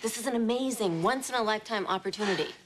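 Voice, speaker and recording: A woman's voice: mid-range voice, fast, lightly acted, poor audio. An actress playing a blunt, sarcastic female best friend. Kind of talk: sitcom acting. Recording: sitcom with loud audience and noise.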